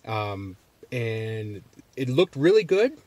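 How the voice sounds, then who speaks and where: Casual man with a Boston accent, mid-pitched voice, talking from a parked car in a loose, rambling vlog style. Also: sing-song, takes it slowly, a little mellow, no room sound. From Massachusetts. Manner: rambling, loose, with many fillers and restarts. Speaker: jerky reviewer.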